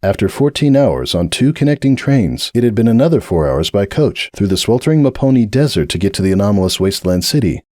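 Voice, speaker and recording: A man narrating a dramatic audiobook reading of a fanfiction story in a measured, expressive way. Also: fairly low pitch, no echo, studio quality.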